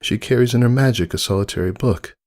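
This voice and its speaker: A man voicing a wistful, devoted old stitched toy, narrating a dramatic fan fiction story in an earnest, measured way. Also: mid-range voice, darkish tone, close to an everyday voice. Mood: hopeful, tender. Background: music under the voice.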